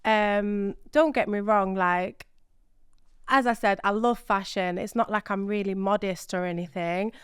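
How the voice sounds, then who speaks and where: A woman's voice: medium pitch, steady tone, unhurried, a bit bright, clear, resonant tone, no room sound, clean studio sound. A young woman from Iraq, a guest on a podcast, chatting casually with lots of fillers and "you know what I mean". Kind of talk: casual interview chat.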